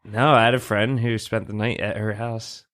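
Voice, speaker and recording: A man's voice: on the low side, fairly even intonation, moderate pace, a bit bright. A man co-hosting a podcast, talking in a casual, conversational way. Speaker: podcast co-host. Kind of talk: casual chat.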